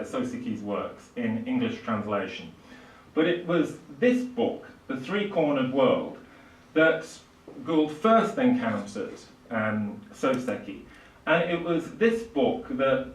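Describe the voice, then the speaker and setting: An older man giving a public lecture in a halting, hesitant way, with frequent pauses. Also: mid-range voice. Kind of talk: public lecture, lightly improvised. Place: small indoor lecture room. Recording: echoey room, distant microphone.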